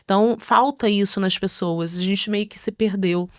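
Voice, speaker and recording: Expressive Brazilian woman, close dry sound. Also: mid-range voice, normal speed, no room sound. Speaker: podcast guest. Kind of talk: conversational interview storytelling.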